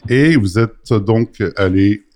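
A man's voice: lowish voice, slow, darkish tone. A man hosting a radio talk show, greeting listeners and questioning his guest. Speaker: radio host. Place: radio studio.

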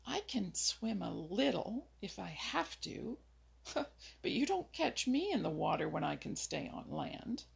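A woman voicing a touchy young male rabbit in a storybook, with a raspy, gravelly voice. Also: mid-range voice, sing-song, measured pace, heavily acted. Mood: defensive. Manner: indignant, defensive.

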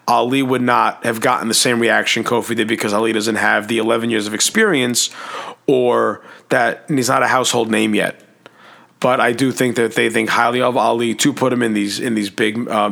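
A man with a raspy, hoarse voice, hosting a casual wrestling predictions talk, enthusiastic and profane, rambling with restarts. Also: medium pitch, fairly bright tone. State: hoarse, clearing throat. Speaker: wrestling podcast host.

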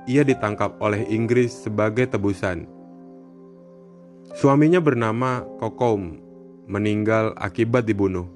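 A man hosting a horror podcast, reading scripted narration. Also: fairly low pitch. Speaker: podcast host. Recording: music bed behind the voice. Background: background music.

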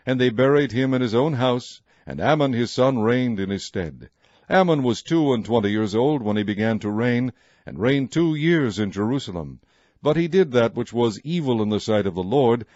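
A man reading scripture aloud in a measured, formal, solemn narration style. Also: medium pitch, darkish tone, no echo, dull audio. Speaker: Bible reader.

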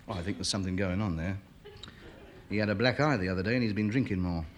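A man's voice: low voice, quick pace. A man co-hosting a podcast, reading lines from an old radio comedy script aloud and acting them out. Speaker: podcast co-host.

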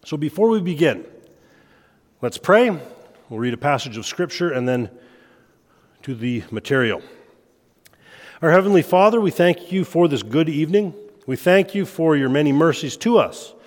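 A man with a head cold gives a relaxed evening lecture, joking at his own expense now and then. His voice sits a touch high. It rings out with a long reverb, as if he is speaking in a large hall. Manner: relaxed, self-deprecating, quick to laugh. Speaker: church history lecturer. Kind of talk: informal lecture at a church meeting. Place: church meeting room.

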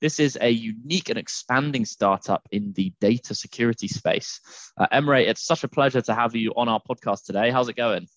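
A man's voice: mid-range voice, fairly quick, fairly bright tone, no room sound. A man hosts a podcast and interviews a guest in a mid-range voice. He is friendly and fills his questions with little hedging phrases. The recording is dry and a bit muffled. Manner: friendly, uses fillers like "sort of". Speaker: podcast host.

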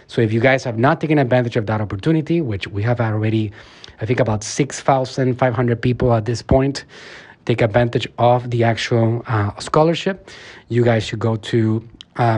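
A man's voice: medium pitch, fairly even intonation, moderate pace, darkish tone. A man who runs a marketing agency, talking earnestly and emphatically in a motivational podcast style, with frequent fillers. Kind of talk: motivational podcast talk and interview answers.

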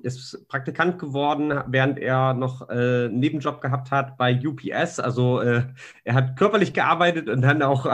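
A man leading a student ministry event, introducing the next speaker in a halting way, with many fillers. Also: medium pitch. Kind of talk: casual introduction.

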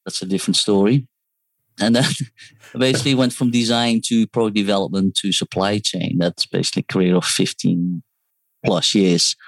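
A man's voice: lowish voice, fairly even intonation, normal speed, vocal fry, no echo, poor audio. A man born in South Korea and raised in the Netherlands, talking as an interview guest about his work, thoughtful and prone to restarting words. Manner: thoughtful, restarts words, quotes others in asides. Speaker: guest, startup founder and design teacher. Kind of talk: conversational interview.

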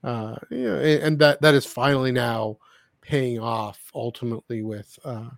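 A man hosting a podcast in casual conversation, talking in a halting, rambling way and often repeating his words. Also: mid-range voice, creaky, dry recording, studio quality. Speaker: podcast host. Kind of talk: casual chat, discussing books and comics.

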